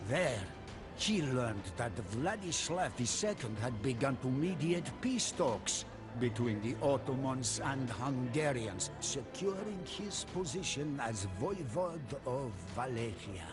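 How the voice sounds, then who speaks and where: A man playing a soldier who recounts a mysterious tale as a solemn, theatrical, measured game narrator. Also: mid-range voice, sing-song. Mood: grave. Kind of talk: dramatic scripted storytelling. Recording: studio narration under prominent music.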